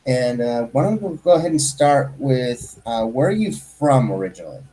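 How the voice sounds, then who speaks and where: A man's voice: medium pitch, big pitch swings, normal speed, dark tone, poor audio. A man hosting a casual interview, asking questions in a friendly, conversational way with some fillers. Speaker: host.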